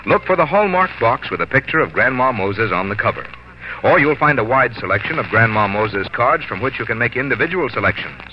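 An American man announcing and reading commercials in 1940s radio style, formal and measured, with deliberate emphasis. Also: mid-range voice, lively intonation, background noise. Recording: dull 1940s radio recording, music behind.

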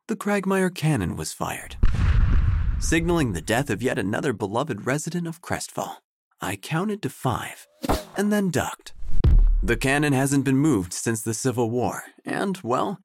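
Lively, theatrical male storyteller. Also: mid-range voice. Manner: measured, theatrical, dryly whimsical. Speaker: narrator. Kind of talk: scripted storytelling narration, deadpan comic audio drama.

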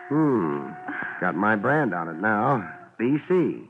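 A laid-back American private eye from 1950s radio drama. His low voice swings widely through dry, deadpan wisecracks and hard-boiled narration. The old recording is muffled and noisy. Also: takes it slowly, heavily acted. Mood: deadpan, probing.

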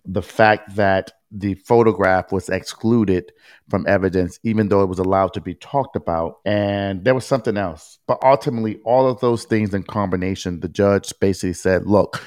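Man, podcast co-host, very low voice, blunt and plain-spoken, reads legal text aloud, dry close recording. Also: darkish tone, no echo. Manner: explains a court ruling at length, reads passages aloud, quizzes his co-host; blunt, with casual swearing. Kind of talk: casual legal discussion.

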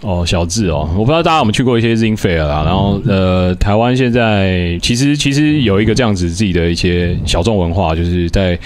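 A man's voice: deep voice, expressive, brisk, few pauses. A man with a Taiwanese Mandarin accent chatting casually as a radio co-host, often repeating words for emphasis. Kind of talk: casual chat.

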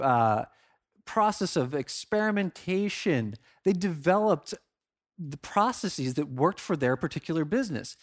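A man with a fairly high-set voice speaks as a conference presenter. His delivery is conversational and informal, with emphasis placed on the key phrases. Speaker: conference speaker, product marketer.

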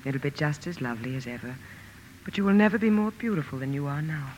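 A woman acting a gentle, steadfast mother in a 1950s radio drama, speaking soothingly and tenderly. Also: low voice, expressive, fast talker. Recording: noisy vintage radio recording.

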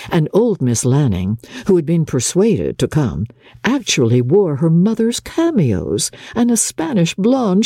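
A woman reads classic fiction as a storyteller, with a husky, mellow voice. Her delivery is measured and dramatic, with a widely swinging melody. The sound is close and dry. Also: deep voice, no echo. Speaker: audiobook narrator and radio presenter. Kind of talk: scripted dramatic reading of a classic novel.

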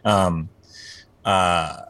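A man's voice: low-pitched, fairly even intonation, drawn-out words, a bit bright, no room sound. A man in his forties, a band singer, talking casually in an interview. He rambles and often restarts his sentences, with plenty of fillers. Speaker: guest musician, band singer.

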